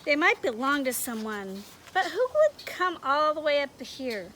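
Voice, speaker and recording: A woman's voice: high-pitched, big pitch swings, normal speed, pushed and bright, slight background noise, low-quality recording. A woman reading a picture book aloud outdoors for children, expressively, often stopping to ask them questions. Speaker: story reader for young children.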